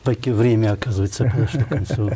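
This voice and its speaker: Mellow-voiced male interview host. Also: lowish voice, steady tone, fast, slight background noise. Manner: brief, polite prompts. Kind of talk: interview hosting.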